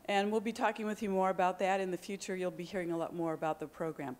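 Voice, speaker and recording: A woman's voice: fairly low pitch, fairly even intonation, quick pace. A woman from the program staff giving a friendly, explanatory address to new students. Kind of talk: orientation address.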